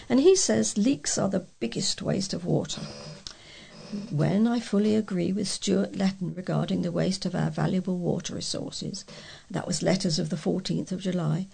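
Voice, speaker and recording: A British woman reading readers' letters aloud as a volunteer local newsreader, with a measured delivery and the occasional stumble. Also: mid-range voice, gravelly, dark tone, slightly rough.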